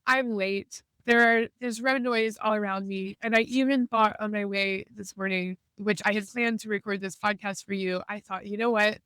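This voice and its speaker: A woman's voice: mid-range voice, steady tone, moderate pace, few pauses, a bit bright, smooth, dry recording. A woman with a slightly congested voice hosting a podcast, explaining things earnestly and encouragingly as she talks while driving in a car. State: congested from a cold. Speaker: podcast host. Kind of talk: casual podcast monologue.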